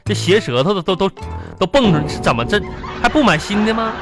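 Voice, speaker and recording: A man's voice: high-pitched, animated, fairly quick, music in the background. A man acting an aggrieved, whiny henpecked husband in a radio comedy, with a Northeastern Chinese accent and stammering, pleading indignation. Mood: indignant pleading. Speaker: radio comedy host and performer.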